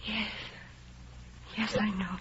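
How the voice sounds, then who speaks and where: A woman's voice: medium pitch, flat delivery, slow, a bit bright, a little hiss or hum. A woman playing a guarded, conflicted spy countess in a 1930s radio drama, with a theatrical, emotional delivery. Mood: anguished, conflicted. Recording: muffled old 1930s radio recording with music.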